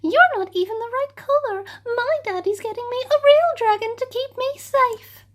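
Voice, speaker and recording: A woman voicing a spoiled, snooty young princess in a storybook reading, talking quickly and haughtily. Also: very high-pitched, voice pushed up. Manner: sniffy, fast and haughty.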